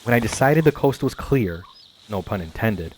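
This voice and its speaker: A man narrating a first-person adventure story in a measured, calm storytelling manner. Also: on the low side, a little hiss or hum. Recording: faint music behind voice.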